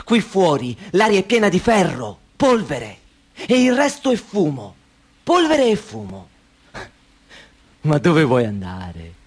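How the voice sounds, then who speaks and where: An actor playing an anxious, controlling man in a radio play, who talks insistently and broods, dropping to a hush and then pressing hard. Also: high voice, wildly swooping pitch, slow, long pauses, breathy edge, heavily acted. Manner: brooding, insistent, swings between hush and urgency. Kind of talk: Italian radio play acting.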